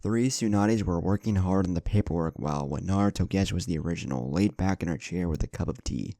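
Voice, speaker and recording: A man's voice: deep voice, a little fast, dark tone, dry recording, clean studio sound. A man reading a scripted story aloud as its narrator, including the characters' dialogue. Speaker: fan fiction narrator.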